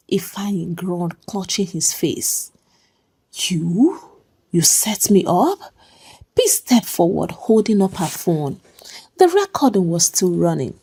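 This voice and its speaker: A woman's voice: on the low side, darkish tone, husky. A woman telling a story as a dramatic scripted narration.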